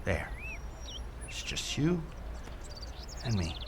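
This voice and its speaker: A man's voice: lowish voice, sing-song, drawn-out words, a little hiss or hum, exaggerated voice. An actor playing a patient, streetwise negotiator in a naturalistic audio drama, folksy and placating, with some hesitation as he coaxes. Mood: soothing. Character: streetwise, patient male negotiator coaxing someone off a ledge.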